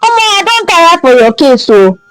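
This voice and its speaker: A woman's voice: high-pitched, sing-song, moderate pace, dry recording, faint music behind. A woman with a Nigerian accent voicing an exasperated young man who scolds his friend with emphatic questions and interjections in a folktale.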